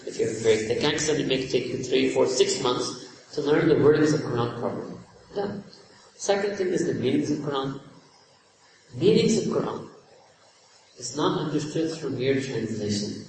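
A man's voice: mid-range voice, expressive, normal speed, long pauses, darkish tone, slight background noise. A man with a non-native English accent delivers a sermon, earnest and emphatic, repeating key phrases and reciting Arabic verses. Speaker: Islamic preacher. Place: large echoey hall. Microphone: distant from mic. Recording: echoey hall, voice from far off.

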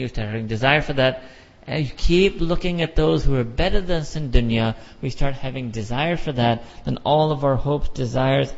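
A man's voice: medium pitch, gravelly. A middle-aged American man giving an emphatic sermon with repeated phrases and rhetorical questions. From United States. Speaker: imam. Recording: dull lo-fi sound with long hall reverb.

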